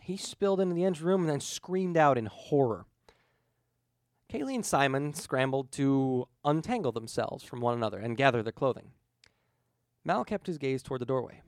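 A man's voice: medium pitch, animated, normal speed, a little mellow, no room sound, clean studio sound. A man reading a scripted story aloud as an audiobook narrator. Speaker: fan fiction audiobook reader.

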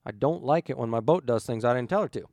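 A man's voice: medium pitch, lively intonation, fast talker, a little mellow, no echo, close to an everyday voice. A man voicing an irritated, commanding spaceship captain in an audiobook, curt, blunt and exasperated.

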